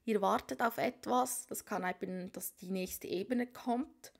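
Gravelly-voiced woman reading tarot cards. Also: mid-range voice, measured pace. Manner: musing, thinks aloud, frequent whispered asides. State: has a cold. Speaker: tarot reader. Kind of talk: casual tarot reading to viewers. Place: small room at a card table.

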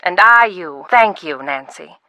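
Brief: sly older widow, game character, bright lively delivery, dry close sound. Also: female voice, on the low side, measured pace, no echo, rough recording. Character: eccentric widowed older woman, secretive. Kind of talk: video game voice acting.

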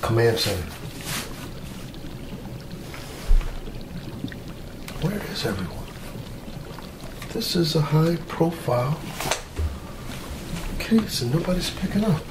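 A man playing an eager, self-important mad scientist doctor in an ASMR roleplay, musing aloud in halting short phrases. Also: on the high side, big pitch swings, voice pushed up. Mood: concerned, businesslike. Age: middle-aged. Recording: roomy, distant mic with loud noise.

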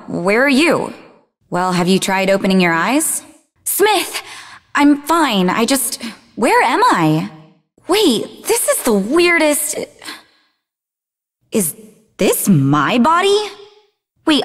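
A woman playing a sharp, sardonic young female spy in a video game, talking conversationally with a defiant edge. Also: a little high, full character voice. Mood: confused. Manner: sarcastic, defiant. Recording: long reverb on the voice.

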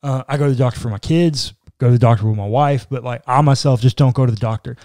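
A man's voice: mid-range voice, quick pace, darkish tone, no echo. A man in his thirties co-hosting a podcast, talking things through reflectively in a small room into a close studio mic. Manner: reflective, talks it through with frequent "like". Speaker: podcast co-host. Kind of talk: casual conversational podcast. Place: small room indoors, seated in armchair. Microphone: boom-arm studio mic close in front.

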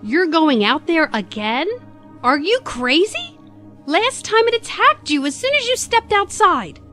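An outspoken, caring female companion played by a woman in a sci-fi audio drama. Her high voice is very lively in pitch, with light music underneath. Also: a little slow, full character voice. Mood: skeptical, concerned. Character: outspoken, concerned female companion of a time traveller.

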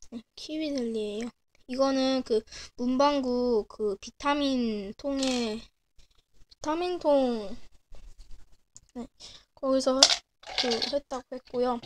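A young woman with a cold, hoarse and clearing her throat often, chatting casually as she shows her handmade miniature crafts at a desk. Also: high-pitched, slow, long pauses, darkish tone, a little hiss or hum. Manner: chatty, frequent throat clearing and self-corrections. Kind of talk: casual show-and-tell narration. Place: small indoor room at a desk. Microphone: camera over a desk, speaker off screen.

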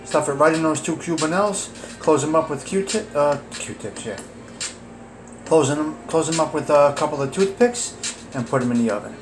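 Man, home cook vlogger, slightly high voice, casual ingredient rundown, phone audio in a kitchen with music behind. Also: normal speed. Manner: chatty, listing ingredients with fillers. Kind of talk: casual cooking walkthrough.

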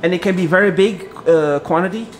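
A man's voice: sits high, fast talker. A man filming and interviewing on a casual walk around an office, asking short questions and echoing the answers back. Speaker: interviewer and camera operator. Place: open-plan office, indoors. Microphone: handheld camera mic, voice from behind camera. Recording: noisy office ambience, handheld camera sound.

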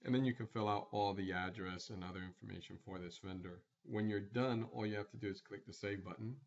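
A man presenting a screencast tutorial, walking through software setup step by step in a plain instructional manner. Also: on the low side, fairly even intonation, a little fast, creaky, lo-fi sound.

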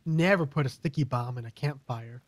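A man's voice: mid-range voice, a little fast, dry recording. A man chatting casually and jokingly as a co-player in a multiplayer gaming video. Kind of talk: casual joking game chat.

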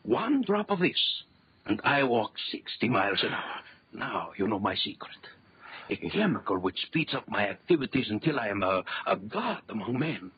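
An actor playing a megalomaniac villain doctor in a 1930s radio horror drama, theatrical, commanding and boastful. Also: male voice, fairly low pitch, big pitch swings, moderate pace, slightly rough, full character voice. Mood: manic, gloating. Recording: muffled old 1930s radio recording.

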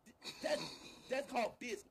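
A man's voice: very high-pitched, steady tone, fairly quick, a loud crowd. A man arguing heatedly and confrontationally in a casual online live-stream chat, repeating himself for emphasis.

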